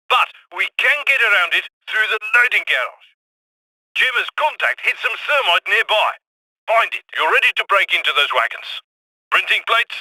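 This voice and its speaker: An actor voicing a brisk, wry heist coordinator in a video game, giving urgent instructions over a radio. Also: male voice, sits high, bright, forceful tone, dry recording, heavily acted, low-quality recording.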